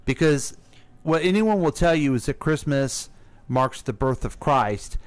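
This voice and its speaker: A man's voice: on the high side, expressive, unhurried, no echo. An American man co-hosting a casual radio discussion in a conversational way. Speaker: radio co-host.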